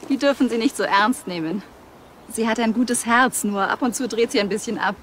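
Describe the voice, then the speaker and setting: An actress playing a kind, shy young nun with a gentle, polite manner in a TV drama. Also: female voice, fairly high pitch, a little fast, slight background noise, close to an everyday voice. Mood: friendly. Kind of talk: naturalistic TV drama acting.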